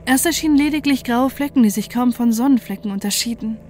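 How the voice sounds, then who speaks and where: A woman's voice: on the high side, fast talker, darkish tone, slight background noise, close to an everyday voice. A woman playing a defiant, desperate scientist who narrates a horror story in an intense, dramatic way. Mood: grave narration.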